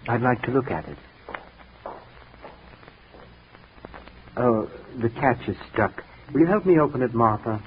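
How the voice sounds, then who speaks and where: A man's voice: mid-range voice, expressive, brisk, lightly acted. Actor as brooding man, noisy old radio drama. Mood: quietly probing. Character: brooding man composer, soothing and secretly probing. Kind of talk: 1940s radio drama acting. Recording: noisy muffled 1940s radio recording.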